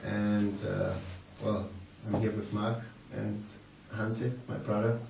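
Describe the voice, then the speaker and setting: A man's voice: fairly low pitch, flat delivery, takes it slowly, gravelly, far from the mic. A man in his twenties from Austria introducing himself at a seminar. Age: 20s. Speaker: seminar attendee. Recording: echoey room with noise.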